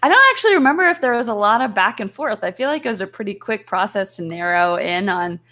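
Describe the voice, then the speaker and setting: A woman with an average-pitched voice chats as a guest. She is casual and slightly hesitant, thinking out loud and gently putting herself down as she goes. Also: animated, fast talker. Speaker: voice actor, guest. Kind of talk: casual chat.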